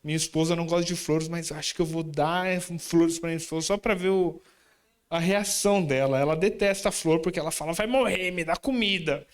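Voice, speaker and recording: A man's voice: fairly high pitch, brisk. A man preaching in a church, introducing his student group and then moving into the sermon with chatty, informal asides to the congregation. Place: church sanctuary.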